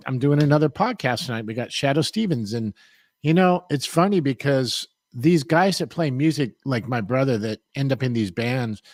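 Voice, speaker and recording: A man's voice: medium pitch, a little mellow, dry recording. Laid-back rambling male podcast host. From San Diego, USA. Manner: rambling, laid-back, says "man" a lot. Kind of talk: casual interview chat.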